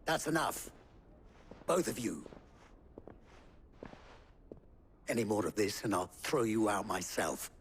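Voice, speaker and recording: A stern merchant, very expressive. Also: male voice, lowish voice, moderate pace, a little hiss or hum. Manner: formal, earnest. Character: stern, weary male merchant keeping order. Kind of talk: dramatic game cutscene acting.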